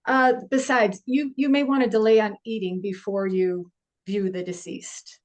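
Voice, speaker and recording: A woman's voice: mid-range voice, fairly even intonation, normal speed, natural voice. A woman playing a middle-aged forensic investigator at a film table read, matter-of-fact and wry, with a hesitant conversational delivery. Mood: businesslike, awkward. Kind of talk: actor's table read of an indie horror film.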